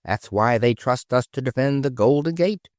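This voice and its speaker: A man's voice: medium pitch, animated, normal speed, darkish tone, dry recording, clean studio sound, voice pushed up, natural voice. A man reading aloud, voicing a dim, good-natured porpoise guard who talks in a homely, ungrammatical way. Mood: proud simple.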